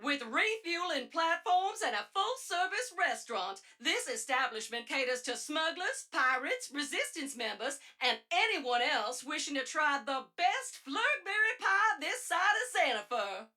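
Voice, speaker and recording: A woman's voice: high-pitched, very animated, measured pace, few pauses, bright, forceful tone, heavily acted. A woman playing a wry, chatty resistance informant, narrating tongue-in-cheek audio logs for a video game in a theatrical way. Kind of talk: scripted comedic narration.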